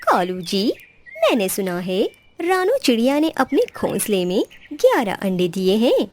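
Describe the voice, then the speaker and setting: A woman's voice: fairly high pitch, wildly swooping pitch, a little slow, a little hiss or hum. A woman voicing a scheming, bossy female crow who scolds in a children's cartoon. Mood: sly. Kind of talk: Hindi children's cartoon dialogue.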